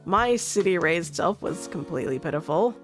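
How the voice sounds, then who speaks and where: A woman streamer narrating a visual novel live, with expressive storytelling. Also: fairly low pitch, normal speed. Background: soft game music.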